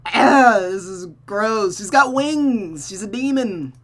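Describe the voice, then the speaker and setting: Animated game reader reacting, slow-paced. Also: mid-range voice. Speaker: host reading a visual novel aloud. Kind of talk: reacting commentary on a game playthrough.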